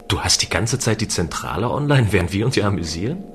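A man's voice: lowish voice, sing-song, quick pace, faint music behind. A man playing a lonely, easygoing space station guard, narrating and speaking in a scripted sci-fi radio play. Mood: irritated. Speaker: voice actor and first-person narrator.

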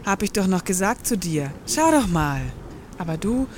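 A woman's voice: mid-range voice, very animated, normal speed, a little mellow, natural voice. A woman playing a bored, spoiled bourgeois wife in a satirical radio play, with affected, dryly ironic, wordy delivery. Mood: indignant. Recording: noisy background ambience.

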